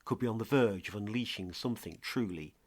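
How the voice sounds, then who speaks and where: A man playing a grave investigative podcast presenter, narrating a scripted mystery in a measured, dramatic way. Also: on the low side, heavy background noise, close to an everyday voice. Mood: ominous.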